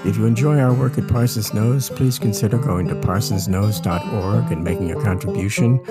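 A man's voice: lowish voice, brisk, over loud music. A man reading a scripted announcement as the presenter of a radio theater programme.

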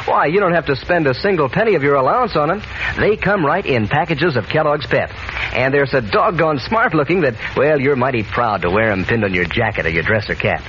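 A man's voice: medium pitch, animated, fairly quick, a bit bright, slight background noise. A man announcing a 1940s radio advertisement for children with folksy, enthusiastic salesmanship, on a muffled old recording. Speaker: sponsor announcer.

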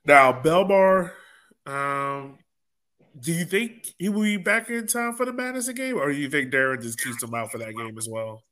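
A man's voice: on the high side, normal speed, a bit bright. A man hosting a soccer podcast, talking casually in a rambling way and often repeating himself. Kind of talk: casual sports discussion.

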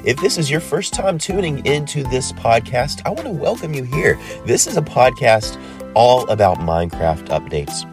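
A man's voice: mid-range voice, very animated, normal speed, over music. A man hosting a solo podcast in a casual, rambling way with many ums and uhs, sounding stuffy from a cold. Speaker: podcast host.

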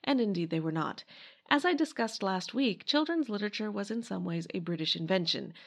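A woman's voice: medium pitch, expressive, brisk, creaky. A woman hosting a podcast, reading scripted history narration in a wry, emphatic way. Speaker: podcast host.

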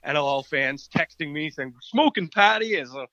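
A man with a raspy voice, answering interview questions in an easygoing way as a guest. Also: on the high side, animated, moderate pace, sharp tone, no room sound, muffled recording. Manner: easygoing, laughs often. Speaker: guest columnist.

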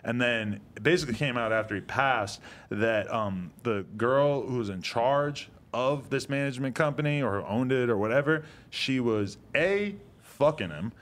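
A man's voice: mid-range voice, animated, normal speed, hardly any pauses. A man casually co-hosting a podcast, loose and slangy with lots of fillers. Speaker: podcast co-host. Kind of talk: casual chat.

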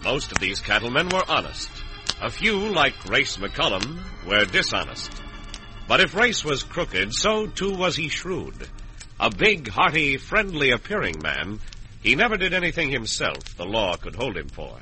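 Animated American radio announcer man. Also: mid-range voice, a little slow, poor audio. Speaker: announcer and narrator. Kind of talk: vintage radio western narration. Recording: vintage radio recording with music.

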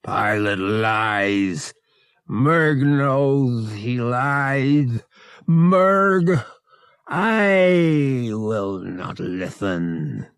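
A man voicing a huge furry male feline alien guard in an audiobook, grim and growling, speaking slowly in halting broken phrases. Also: medium pitch, expressive, fairly bright tone, smooth, dry recording, studio quality, voice pushed up, heavily acted. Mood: stern, torn. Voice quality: growling, snarling. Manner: slow, halting broken speech in third person. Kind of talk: audiobook character voice.

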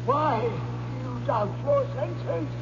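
An actor playing the tormented, mournful ghost of a dead businessman in a radio drama, speaking in a solemn, drawn-out wail. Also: very high-pitched. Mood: ominous. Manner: slow, drawn-out, wailing, solemn. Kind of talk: 1970s radio drama acting. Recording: echoing, noisy, muffled radio recording.

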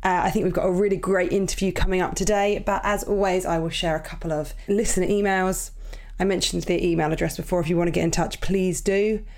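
Irish female host, lowish voice, morning croak, chatty and upbeat. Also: fairly even intonation, quick pace, a little mellow. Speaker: podcast host. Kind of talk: casual chat.

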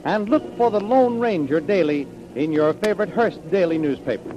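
A man's voice: high voice, lively intonation, moderate pace. A man narrating as a 1930s radio serial announcer, in a dramatic, declamatory manner. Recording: muffled, hissy vintage radio recording.

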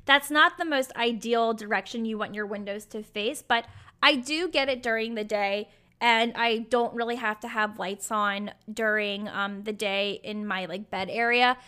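Raspy, bright-voiced young woman. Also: a little high, moderate pace. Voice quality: raspy, sometimes croaky. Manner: candid, chatty, with fillers. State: hoarse from acid reflux. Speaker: podcast host. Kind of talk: solo podcast monologue.

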